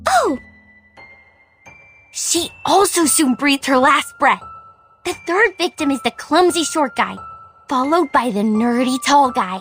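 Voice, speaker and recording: Casting: actress for earnest young girl storyteller in a drama, theatrical horror delivery, high-pitched, music playing behind. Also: heavily acted. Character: imaginative young girl earnestly telling her horror stories.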